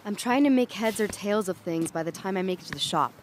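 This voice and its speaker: A woman's voice: mid-range voice, lively intonation, fast talker, lightly acted. A woman playing an uneasy, tripping young woman walking home at night, narrating in the first person in a dramatic audio story. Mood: uneasy, watchful. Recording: clear street and traffic ambience behind.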